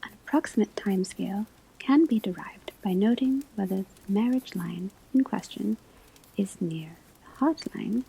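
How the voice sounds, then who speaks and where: A woman whispering in a breathy, husky voice, delivering a scripted ASMR classroom lecture as a teacher, with deliberate, measured phrasing. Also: medium pitch, lively intonation, warm tone, poor audio. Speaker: ASMR performer playing a divination class teacher.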